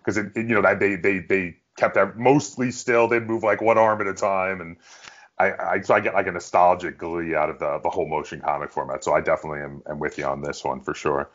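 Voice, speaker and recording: Low-voiced male interviewer, slightly muffled. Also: fairly even intonation, fairly quick. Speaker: interviewer, online show host. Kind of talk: casual interview conversation.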